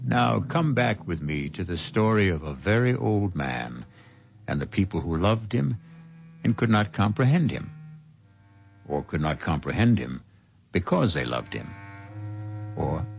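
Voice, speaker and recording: A man introducing a radio mystery drama, speaking in a measured, solemn storytelling manner. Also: low voice, very animated, vocal fry. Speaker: radio drama host and narrator. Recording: dull old radio recording with music behind.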